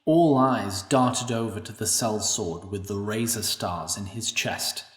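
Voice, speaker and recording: A man narrating an audio drama in an expressive storytelling manner. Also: lowish voice, a little slow. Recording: echoey room, distant mic.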